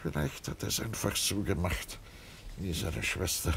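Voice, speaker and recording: An actor in a radio crime drama playing an anxious, evasive, sickly middle-aged father, halting and flustered as he talks. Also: low voice, lively intonation, creaky, fairly bright tone, a little hiss or hum, exaggerated voice. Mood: weary, plaintive.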